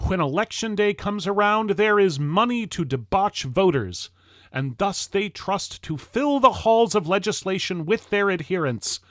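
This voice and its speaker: A man reading an old-fashioned political address aloud in a formal, declamatory and emphatic manner with deliberate phrasing. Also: sits high, expressive, a bit bright, no echo, clean studio sound. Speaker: reader of a historical political speech. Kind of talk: formal oratory, read-aloud 19th-century address.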